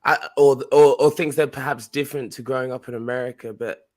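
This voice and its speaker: A man's voice: medium pitch, fairly even intonation, quick pace, darkish tone. A young man from Australia, a guest in a casual interview, talking in a laid-back, rambling way with many restarts.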